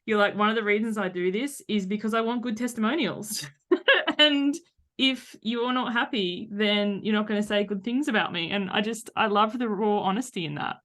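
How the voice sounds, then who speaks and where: A woman's voice: mid-range voice, normal speed, fairly bright tone. A woman hosting a podcast in casual conversation, enthusiastic and anecdotal. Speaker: podcast host.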